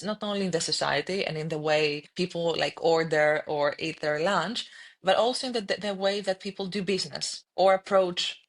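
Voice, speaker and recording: Low-voiced woman founder. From Greece. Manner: reflective, frequent restarts and fillers. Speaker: guest, startup founder. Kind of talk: podcast interview answers.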